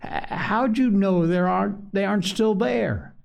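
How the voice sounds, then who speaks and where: A man's voice: sits high, a little slow, vocal fry, pitched above the performer's own voice, full character voice. A man voicing a bossy, hot-tempered older schoolboy who snaps scornfully. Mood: angry. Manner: snapping, scornful.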